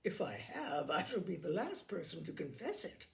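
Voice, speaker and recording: A woman voicing a composed, defiant young gentlewoman in an audiobook of a classic novel, cool and pointed in her measured replies. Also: sits low, very animated, creaky, voice pushed down, heavily acted. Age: young adult.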